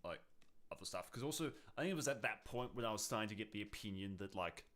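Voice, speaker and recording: A young man with a fairly low voice that has a bright, forceful edge. He talks casually, as a podcast co-host chatting with a partner. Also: animated, fast talker. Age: young adult.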